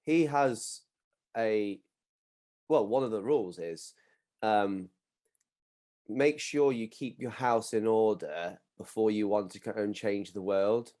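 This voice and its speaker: A man with a fairly low voice hosts a podcast conversation. He backs his guest up, agrees readily and calls him brother. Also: takes it slowly, pauses often, mellow. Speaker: podcast host, men's mental health group founder.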